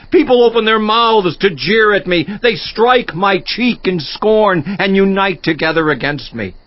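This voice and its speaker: An actor playing a grief-stricken, worn-out righteous man lamenting to God in a dramatized scripture audiobook, with anguished, drawn-out delivery. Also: fairly high pitch, lightly acted. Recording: dull lo-fi audio, echoing room.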